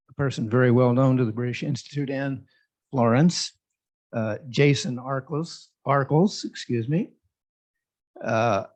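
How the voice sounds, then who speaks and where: A man introducing a guest lecturer, halting and full of fillers. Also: mid-range voice, darkish tone. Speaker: host introducing the speaker. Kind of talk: speaker introduction. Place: lecture room. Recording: dull lo-fi sound.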